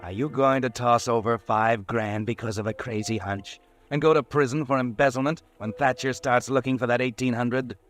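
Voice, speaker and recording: A man's voice: medium pitch, steady tone, moderate pace, soft background music, lightly acted. A man playing a mocking, ominous narrator who goads the listener in the second person, in a 1940s radio drama. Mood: taunting. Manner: taunting, goading, second-person.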